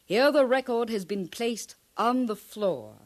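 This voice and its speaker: A woman's voice: on the high side, animated, unhurried. An actress playing a confident, learned woman scientist in a 1950s radio drama, lecturing in precise, formal phrases.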